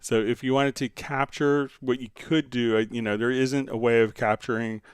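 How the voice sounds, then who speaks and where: A man hosting a casual live software tutorial, thinking aloud tentatively as he demonstrates and answers viewer questions. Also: medium pitch, steady tone, normal speed, clean tone, no room sound, faint music behind. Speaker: livestream host and software instructor.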